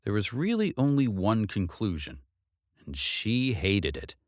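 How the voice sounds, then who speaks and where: A man's voice: fairly low pitch, big pitch swings, measured pace, no echo. Male audiobook narrator, dry close sound. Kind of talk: scripted novel narration.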